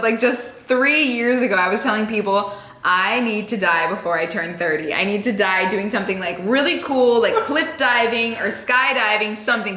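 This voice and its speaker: A woman's voice: mid-range voice, bright, forceful tone, echoey room. A woman in her late twenties chatting casually and upbeat in an interview, laughing readily. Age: 20s. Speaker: interviewee, radio and social media personality. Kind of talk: casual conversation.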